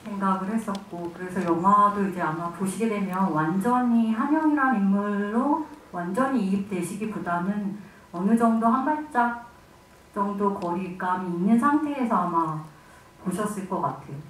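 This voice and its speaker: A woman's voice: mid-range voice, moderate pace, recorded from a distance, a little hiss or hum. A woman film director answering questions at a press conference, thoughtful and searching for words. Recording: echoey hall, mic from afar.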